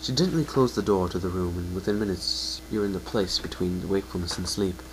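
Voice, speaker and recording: A man with a low voice reads a story aloud as narrator, addressing the listener as the hero. His delivery is an amateur dramatic reading with occasional self-corrections, recorded at home over a faint hum. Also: fairly quick.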